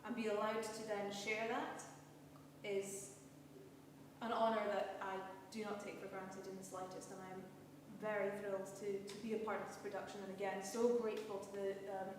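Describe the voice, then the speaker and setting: A woman's voice: medium pitch, flat delivery, normal speed. Scottish woman heard from afar in a hall. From Scotland. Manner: earnest, heartfelt, repeats words while searching for them. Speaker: panelist, cast member of a stage production. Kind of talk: panel discussion. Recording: roomy hall, distant mic, faint noise.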